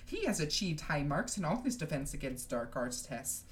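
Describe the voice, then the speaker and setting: A woman's voice: deep voice, big pitch swings, moderate pace, a little hiss or hum. A woman voicing a stern, curt older schoolmistress with a clipped, exasperated, formal manner, as in a storybook. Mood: firm.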